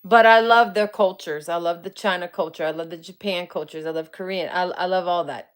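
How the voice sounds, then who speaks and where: A woman's voice: lowish voice, steady tone, a little fast, clear, resonant tone. A woman in her forties, a psychic medium, chatting casually with viewers on a livestream. She often laughs, greets people by name and uses colloquial grammar. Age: 40s. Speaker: psychic medium and paranormal live streamer. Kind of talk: casual livestream chat answering viewer comments.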